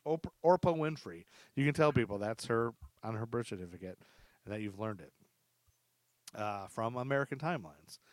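A man's voice: medium pitch, lively intonation, moderate pace, no echo. Man hosting a podcast, close dry sound. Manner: informal, interjects joking asides. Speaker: podcast host. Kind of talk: true crime storytelling, part read from notes, part casual chat.

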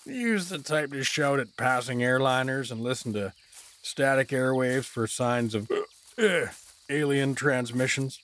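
A man's voice: medium pitch, unhurried, a bit bright, slight background noise, lightly acted. A man playing a drunk, good-natured, bumbling dad adventurer in an audio drama, rambling and stumbling over words. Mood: tipsy.

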